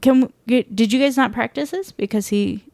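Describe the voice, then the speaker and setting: Thirties woman co-host, giggly casual talker with fillers; a touch high, close and dry. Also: normal speed, gravelly, a little mellow, dry recording. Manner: chatty, giggly, many fillers. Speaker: podcast co-host. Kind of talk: casual chat.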